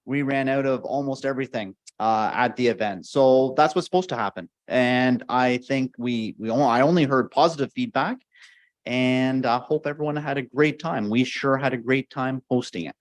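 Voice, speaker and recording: A man's voice: medium pitch, moderate pace, no echo. A man, apparently the fire chief, reporting to council in an earnest, upbeat way and often repeating phrases. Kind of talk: staff report at council meeting. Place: council chamber.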